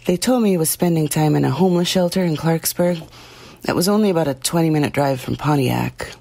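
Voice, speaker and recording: An actress playing a plain-spoken, guilt-ridden small-town woman giving halting, confessional testimony in an audio drama. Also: sits low, creaky, dark tone. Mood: troubled, halting.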